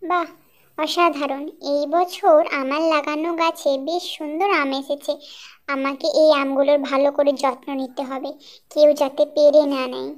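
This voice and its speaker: Someone doing a tiny earnest cartoon bird, super high kiddie voice. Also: flat delivery, moderate pace. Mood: pleased, protective. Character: small cartoon birds, cautious, earnest, easily indignant. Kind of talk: children's cartoon story dialogue.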